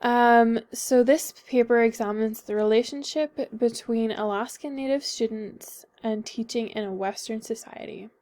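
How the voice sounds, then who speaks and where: A woman's voice: fairly high pitch, steady tone, measured pace, few pauses, darkish tone. A young woman with a Northern Irish accent, a little sick, giving a class paper presentation with frequent hesitations and ums. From Northern Ireland. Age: young adult. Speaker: student presenter.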